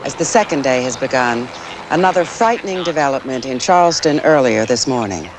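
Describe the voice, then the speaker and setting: A woman's voice: very deep voice, expressive, fairly quick. Eighties tv anchorwoman, serious scripted read, some chatter and hiss behind. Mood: grave. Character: serious network morning news anchor. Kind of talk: scripted news anchoring, dramatized.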